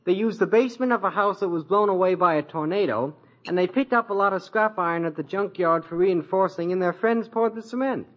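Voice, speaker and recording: An actor playing an eager, earnest, boyish teenage son in a 1950s radio situation comedy. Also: male voice, sits high, a little fast, lightly acted. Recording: dull vintage radio broadcast recording.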